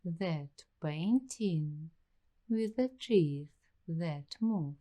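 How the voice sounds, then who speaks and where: A woman playing an uneasy, paranoid young waitress in an ASMR roleplay, speaking in hushed, deliberate, halting phrases with suspenseful pauses. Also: lowish voice, expressive, heavily acted. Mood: eerie suspense. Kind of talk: slow ASMR roleplay storytelling.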